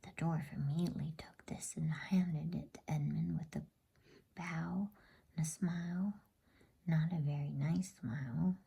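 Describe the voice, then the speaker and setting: A woman narrating a bedtime story in ASMR style, whispering in a soft, soothing, unhurried way. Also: low voice, fairly even intonation, vocal fry, breathy edge.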